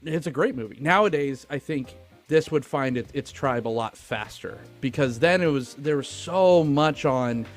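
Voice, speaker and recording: A man hosting a podcast, talking casually and profanely about a film in an animated, informal way. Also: medium pitch, normal speed, soft background music. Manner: animated, informal retelling with acted-out asides. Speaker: podcast host.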